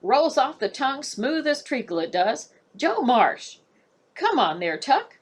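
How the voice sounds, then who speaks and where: Grumbling storybook guard, old-fashioned speech, voiced by a woman; lively swooping pitch. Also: a little slow, husky, full character voice. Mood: cooing. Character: gruff then fawning male tower guard.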